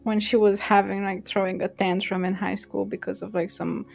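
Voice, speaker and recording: A woman's voice: mid-range voice, little pitch movement, moderate pace, vocal fry, a little mellow, soft background music. A woman whose first language is Romanian, chatting casually on a stream and teasing her co-host with frequent laughter. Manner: giggly, teasing.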